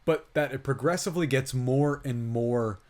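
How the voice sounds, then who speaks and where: A man's voice: medium pitch, moderate pace, darkish tone. Casual man chatting about movies. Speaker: podcast co-host and film reviewer. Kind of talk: casual movie review chat.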